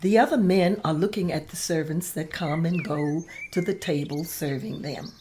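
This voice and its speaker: A woman's voice: low-pitched, normal speed, dark tone, slight background noise. A woman reading stage directions as scripted narration at a table read.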